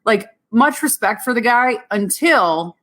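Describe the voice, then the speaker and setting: A woman's voice: a little high, animated, measured pace, a bit bright. A woman hosting a podcast in casual banter, brash and emphatic with a salty tongue. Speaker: podcast host. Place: a friend's house.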